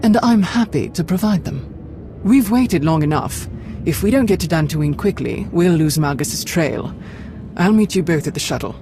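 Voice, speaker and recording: An actress playing a stern woman Sith lord who speaks in a brisk, authoritative way. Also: lowish voice, expressive, music in the background. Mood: commanding.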